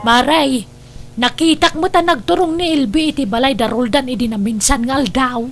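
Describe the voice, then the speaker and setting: A woman's voice: high-pitched, moderate pace, soft background music. A woman with an Ilocano accent playing a nosy, insinuating neighbour who gossips, in an audio drama. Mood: gossipy.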